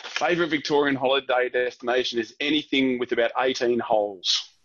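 A man's voice: mid-range voice, a little fast, fairly bright tone, dry recording, muffled recording. A man with an Australian accent chatting casually as an interview guest, answering questions. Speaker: guest, real estate director and auctioneer.

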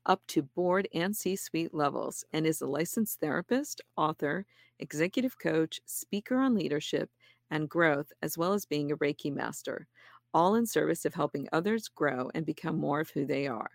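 Upbeat podcast host woman, gravelly voice. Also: low-pitched, animated, moderate pace, no room sound, clean studio sound. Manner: upbeat and effusive. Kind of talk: scripted intro, then casual interview chat.

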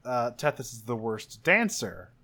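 A man plays a tabletop role-playing game, narrating his character's actions in a casual, improvised way. His pitch sits in the middle of the male range. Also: very animated, a little slow, pushed and bright. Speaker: tabletop role-play player.

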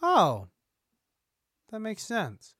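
A man's voice: high-pitched, huge pitch swings, extremely slow, fairly bright tone, no room sound, studio quality. A man co-hosting a podcast in casual, comedic chat. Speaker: podcast co-host. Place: indoors, remote recording.

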